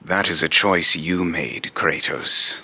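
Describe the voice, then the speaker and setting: A man's voice: very deep voice, steady tone, bright, forceful tone, husky, heavily acted. A man voicing a vengeful, grave god who judges mortals, speaking in solemn, accusing, taunting questions in a dramatic monologue. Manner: slow, solemn, deliberate, taunting rhetorical questioning. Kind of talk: amateur voice-acting monologue with retakes.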